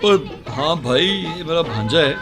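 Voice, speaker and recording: A man's voice: fairly high pitch, expressive, unhurried, over music. A man playing a hearty village uncle in a radio drama. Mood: hearty, coaxing. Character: hearty village uncle taking his nephew along.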